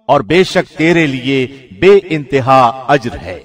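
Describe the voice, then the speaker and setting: A man reading a scripture translation from a script in a formal, measured radio-announcer manner. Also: mid-range voice, very animated. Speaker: translation reader, radio presenter.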